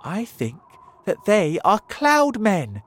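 A man voicing an eager, alert young boy in a children's adventure story, urgent and excitable. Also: high voice, takes it slowly, pitched above the performer's own voice, full character voice. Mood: excited.

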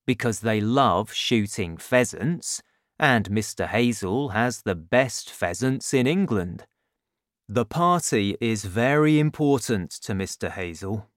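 A father with a lowered, hushed voice, confiding and scheming, as a man reads him aloud. The lines come slowly, with lively shifts in pitch. The sound is dry and close. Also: dry recording. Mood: resentful. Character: warm, scheming father, quietly resentful. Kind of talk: audiobook character voice.

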